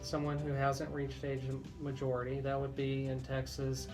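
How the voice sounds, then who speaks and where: A man hosting a legal talk radio show, explaining in a deliberate way as a lawyer. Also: medium pitch, monotone. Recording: music bed under the voice. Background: music.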